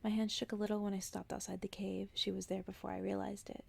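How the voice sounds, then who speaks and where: Whispering woman with heavy vocal fry. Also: mid-range voice, little pitch movement, fast talker. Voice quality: soft, breathy whisper with crackly fry. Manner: hushed, gentle storytelling with casual asides. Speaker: ASMR host reading a storybook aloud. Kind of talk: ASMR bedtime story reading.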